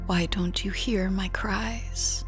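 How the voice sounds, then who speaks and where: A woman praying in a slow, breathy whisper. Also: medium pitch, fairly even intonation. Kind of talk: whispered prayer. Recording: soft music bed under voice. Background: new-age music.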